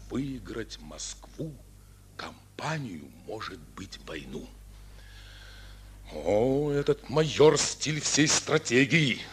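An actor playing a stern, sharp-witted male army commander in an old radio drama, ironic and commanding. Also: medium pitch, a little hiss or hum.

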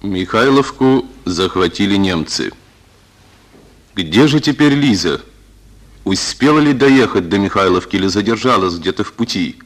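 Low male voice, calm narration, stage directions, old radio drama. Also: moderate pace. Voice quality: calm, even announcer delivery.

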